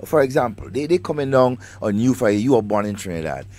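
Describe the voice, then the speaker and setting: Animated radio co-host. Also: male voice, medium pitch, fast. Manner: animated, interjecting, stumbling restarts. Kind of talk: talk radio commentary.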